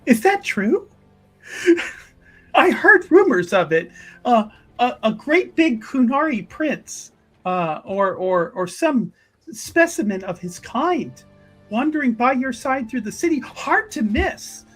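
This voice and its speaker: A player performs a genteel religious sister for a tabletop game. The voice is slightly husky and very animated in pitch, with effusive, formal phrases that stumble and repeat. She is gracious and eagerly curious, over faint music. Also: slow pace, full character voice. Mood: excited, curious. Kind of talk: improvised tabletop roleplay.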